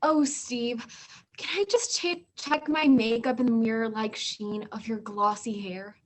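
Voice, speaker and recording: A woman playing a highish flirty ad sweetheart. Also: unhurried. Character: flirty, admiring woman in a radio commercial. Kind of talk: parody radio commercial.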